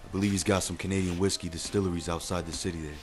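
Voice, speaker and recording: A man playing a deep-voiced cool mob boss. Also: steady tone, rapid-fire, close to an everyday voice. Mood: businesslike. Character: smooth calculating mob boss businessman. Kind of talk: 1930s radio drama acting.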